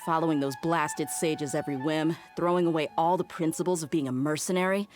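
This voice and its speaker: Actress as a low-voiced bold mercenary woman. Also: steady tone, brisk, a little mellow, faint music behind, lightly acted. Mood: determined. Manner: casual, self-assured. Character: confident, fierce desert mercenary woman, bold and wry.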